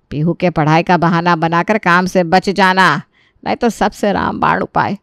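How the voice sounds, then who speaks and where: An actress playing a grumbling, scheming middle-aged mother-in-law in an animated moral story, scolding and sarcastic. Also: on the low side, no echo, clean studio sound, close to an everyday voice.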